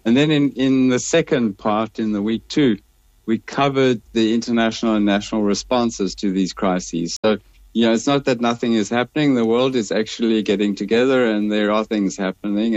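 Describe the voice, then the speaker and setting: A man, speaking as a professor on a radio show, measured and hesitant, his voice a little croaky from a cold. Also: fairly low pitch, darkish tone, dry recording, muffled recording. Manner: measured, hesitant, many restarts. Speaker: professor, studio guest. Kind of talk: radio interview, explaining.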